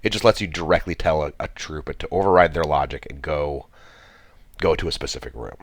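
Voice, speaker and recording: A man's voice: rumbling low, brisk, dry recording. A man with a stuffy cold, commenting on a strategy game he plays, thinking aloud with many restarts. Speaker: gameplay commentator.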